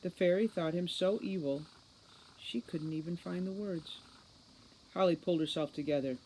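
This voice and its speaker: Guy reading a novel out loud, audiobook narration, kinda high voice, slight background noise. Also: normal speed, rough recording. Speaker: audiobook reader. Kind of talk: scripted story narration.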